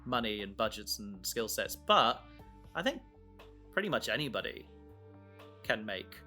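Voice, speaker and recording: Bright-voiced man rambling enthusiastically over music. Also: lowish voice, very animated, measured pace. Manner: rambling, enthusiastic, trails off mid-thought. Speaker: podcast host and audio drama creator. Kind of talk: casual chat about making an audio drama.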